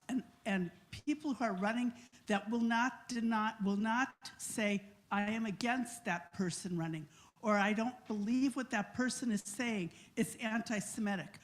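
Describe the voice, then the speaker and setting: Insistent woman pressing a question from the floor. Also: fairly high pitch. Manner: forceful, insistent, repeating her point. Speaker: chaplain and audience questioner. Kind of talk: pointed question from the floor at a public forum.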